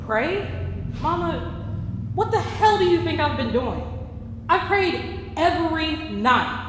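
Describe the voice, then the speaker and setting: Woman as anguished, defiant Wendell, high-voiced. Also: lively intonation, takes it slowly. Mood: indignant, anguished. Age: young adult. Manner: impassioned, pleading. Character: Wendell, anguished, defiant ailing adult son confronting his mother. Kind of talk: dramatic stage monologue reading. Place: staged reading in a large echoey room. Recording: echoey hall, faint noise.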